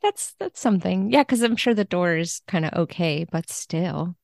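A woman's voice: on the low side, expressive, normal speed, vocal fry, darkish tone, no room sound, studio quality. A woman speaking as a translator guest on a podcast, discussing a book in an informal, conversational way.

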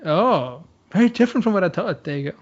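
A man's voice: high voice, sing-song, fast talker, muffled recording. A man in casual chat on a call, easygoing and agreeable, who laughs often.

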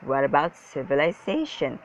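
A kindly woman schoolteacher as a storybook reader acts her out speaks sweetly and patiently, encouraging her class. The pitch is moderate and the sound is slightly lo-fi. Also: sing-song, moderate pace, a little hiss or hum, close to an everyday voice.

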